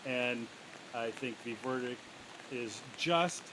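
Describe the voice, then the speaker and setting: A man giving a casual mock interview as a defense attorney guest, halting and wry. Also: mid-range voice. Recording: clear hissy background noise.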